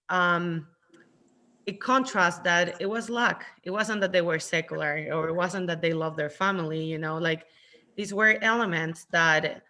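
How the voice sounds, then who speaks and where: A woman from Chile leading an online book club discussion, thoughtful and halting, with many fillers. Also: lowish voice, bright, forceful tone. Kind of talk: casual online group discussion. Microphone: video call.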